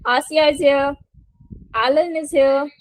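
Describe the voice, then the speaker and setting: High-pitched woman teaching English online. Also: steady tone, unhurried, low-quality recording. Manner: encouraging, prompting participants. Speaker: English teacher hosting a webinar. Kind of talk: spoken English lesson to a group. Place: online video call.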